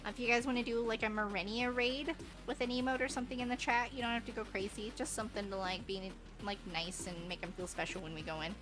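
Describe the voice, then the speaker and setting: A woman livestreamer chatting casually with her viewers in an expressive, bubbly, rambling way. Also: a little high, quick pace, few pauses, music in the background. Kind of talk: casual live game stream chat with viewers.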